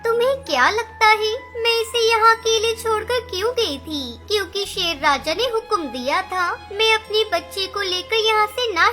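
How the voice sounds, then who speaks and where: A woman voicing a clever, bold little mother bird in a children's cartoon story, emphatic and scolding. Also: very high-pitched. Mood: bluffing, scolding. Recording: music behind the voice.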